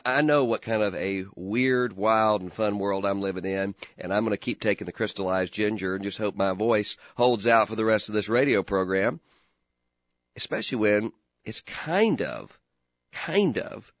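Hoarse, gravelly talk radio host man. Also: on the low side, measured pace, no room sound, muffled recording. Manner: earnest, opinionated, repeats words when starting. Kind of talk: political talk radio commentary.

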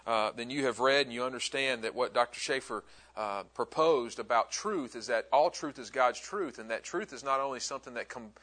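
A middle-aged Southern-raised man leads a classroom session in a bright, forward voice. He talks in a loose, friendly way and drifts into side stories with his students. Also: medium pitch, a little fast. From American South. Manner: chatty, friendly, rambling asides. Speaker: instructor. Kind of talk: classroom talk.

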